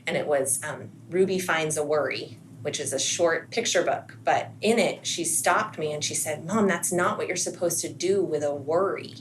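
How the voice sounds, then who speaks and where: Low-pitched American woman chatting as podcast guest. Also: moderate pace, few pauses, poor audio. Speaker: podcast guest, school assistant principal. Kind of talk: casual reflective conversation. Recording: faint background noise.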